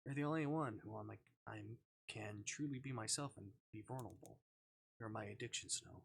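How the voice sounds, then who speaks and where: A man's voice: fairly low pitch, brisk, gravelly, breathy edge. Man voicing a lonely, earnest young game character. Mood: yearning, confessional. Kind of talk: visual novel dialogue read aloud.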